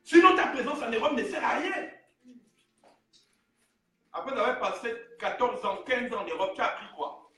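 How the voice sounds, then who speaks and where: High, bright-voiced Gabonese preacher. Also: male voice, very animated, normal speed, pauses often. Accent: Gabonese French. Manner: fiery preaching, rising to shouts, urging the congregation. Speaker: pastor. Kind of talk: sermon. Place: church hall with a congregation. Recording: very echoey hall recorded from a distance.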